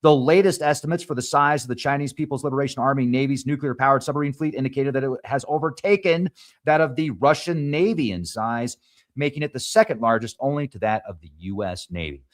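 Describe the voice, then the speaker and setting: A man's voice: medium pitch, brisk. Non-native English-speaking man, mid-range voice, reading headlines and trips on names. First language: not English. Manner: reads articles aloud, stumbles on names, adds asides. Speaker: show host. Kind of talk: reading news headlines with commentary.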